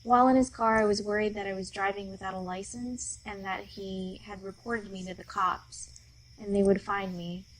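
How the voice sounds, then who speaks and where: A woman with a mid-pitched voice tells the story of a dream in a soft, storytelling way. Her voice sometimes drops toward a whisper. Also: fairly even intonation, moderate pace, low-quality recording. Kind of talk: recounting a dream.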